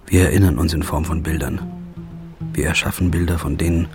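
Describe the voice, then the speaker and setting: A haggard hungover detective type, deep voice, terse and brooding, music under it. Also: male voice, level tone, fairly quick. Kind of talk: film-style drama with voice-over narration.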